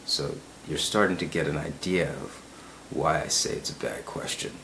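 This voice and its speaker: A man's voice: rumbling low, normal speed, gravelly, sharp tone, a little hiss or hum. A man talking in a casual, musing monologue, often checking in with "right?" and repeating words as he thinks. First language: English. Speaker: self-help video host.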